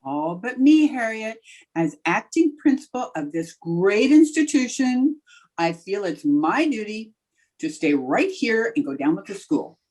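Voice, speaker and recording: Wry female schoolteacher played by an actress: mock-grand, wisecracking, lively melodic swings, husky edge, radio sitcom. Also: medium pitch, unhurried, low-quality recording. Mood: deadpan, grand. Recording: remote video-call recording.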